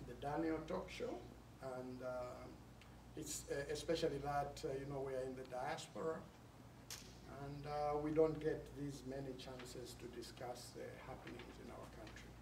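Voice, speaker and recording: A man's voice: mid-range voice, fairly even intonation, normal speed, creaky. Man, distant echoey mic. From Zambia. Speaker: panelist. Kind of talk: talk show panel introduction. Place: studio. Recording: roomy, distant mic, noisy.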